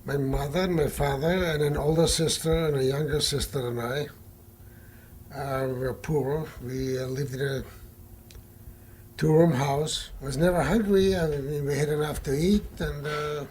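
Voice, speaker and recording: A man in his eighties whose first language is Yiddish gives a slow, halting recollection. He often repeats words before going on. His voice sits a little high for a man, with faint noise behind it. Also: fairly even intonation, a little mellow. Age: 80s. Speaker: Holocaust survivor interviewee. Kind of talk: recalled testimony.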